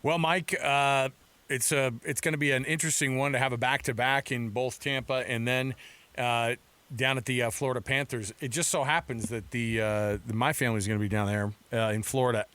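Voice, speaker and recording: A man's voice: medium pitch, fairly even intonation, a little fast, fairly bright tone, clear, resonant tone, dry recording. Casual male sports radio host, dry studio sound. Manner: chatty, informal, often says "man". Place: radio studio in Salt Lake City. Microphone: close studio microphone.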